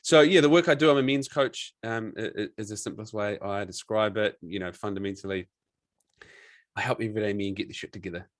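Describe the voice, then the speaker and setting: A man's voice: lowish voice, fairly quick, dry recording, studio quality. A man in his thirties with a New Zealand accent, a coach talking frankly and casually as a podcast guest, swearing now and then. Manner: relaxed, frank, swears casually, many restarts. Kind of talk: casual interview chat.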